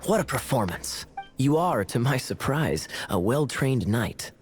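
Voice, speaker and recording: An actor voicing a suave, teasing knight in a video game, speaking in a wry, theatrical manner. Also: male voice, mid-range voice, big pitch swings, measured pace, soft background music. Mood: playful praise. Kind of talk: video game character dialogue.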